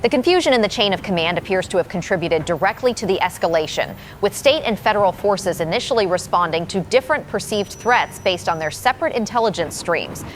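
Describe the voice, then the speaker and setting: An actress playing a shaken but professional field reporter, delivering a formal, urgent live report in an audio drama. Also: female voice, medium pitch, animated, fairly quick, hardly any pauses, a little hiss or hum, close to an everyday voice. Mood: grave, urgent. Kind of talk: scripted live news report.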